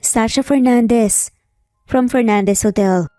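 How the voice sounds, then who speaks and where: A woman's voice: on the high side, measured pace, warm tone, dry recording, clean studio sound, lightly acted. A Filipino woman voicing an elegant, self-assured female hotel client in a narrated story, formal and composed. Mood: businesslike. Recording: background music under voice.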